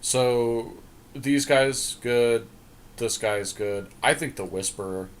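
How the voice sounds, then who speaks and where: A man's voice: medium pitch, slow, smooth. A man co-hosting a podcast, chatting casually and thinking aloud in informal slang as he talks through a card game draft. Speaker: podcast co-host.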